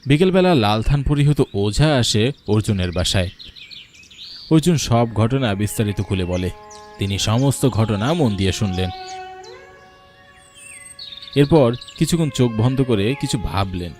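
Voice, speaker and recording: A man's voice: lowish voice, expressive, unhurried, long pauses, darkish tone. A man narrating a scripted cartoon folk tale as a dramatic storyteller. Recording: music behind the voice.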